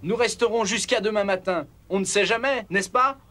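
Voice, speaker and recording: An actor playing an earnest, dignified traveller in a cartoon, speaking in a stately, assured way. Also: male voice, sits high, normal speed, pushed and bright. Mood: calm, firm. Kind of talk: French cartoon dub acting.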